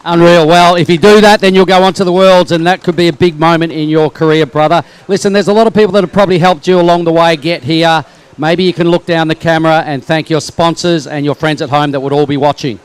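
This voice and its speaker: A man's voice: a little high, steady tone, fast talker, clean tone. A man presenting a live sports interview in an upbeat, encouraging, informal manner.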